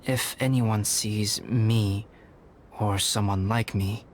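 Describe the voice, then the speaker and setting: A man's voice: on the low side, steady tone, slight background noise. A man playing a composed, aloof young alchemist in a video game, speaking formally and thoughtfully. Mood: calm, resolved. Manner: measured, formal, scholarly. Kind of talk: dramatic video game voice acting.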